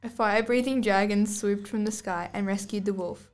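A woman's voice: medium pitch, fairly even intonation, moderate pace. A girl of about eleven or twelve reading scripted storybook narration aloud as a student podcast host. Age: child, about 11 to 12.

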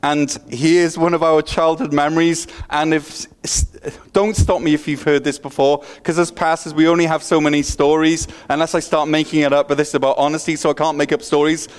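A man's voice: on the high side, flat delivery, a little fast, smooth. A middle-aged man from Wales with a Welsh accent, preaching a sermon in a joking, self-deprecating way, with a slight stutter and frequent restarts. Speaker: pastor.

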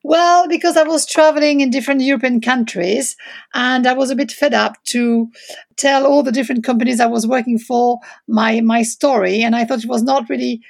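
A woman's voice: on the high side, fairly even intonation, brisk, gravelly. A middle-aged woman from France with a French accent, answering interview questions hesitantly and searching for English words. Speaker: interior designer guest.

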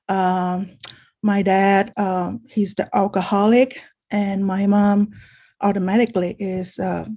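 Middle aged lady from thailand telling her story at a meeting, slow and halting, kinda mellow. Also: medium pitch. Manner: halting, reflective. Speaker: speaker at a support meeting. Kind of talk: personal testimony, unscripted sharing.

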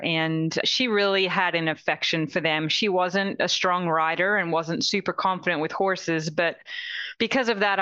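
Aussie lady in her 40s, bit of texas in it, bright voice, dry lo-fi sound. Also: lowish voice, smooth, no echo. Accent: Australian with a light Texan mix. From Australia. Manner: upbeat, laughs easily. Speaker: guest, horse industry director. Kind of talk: casual interview talk.

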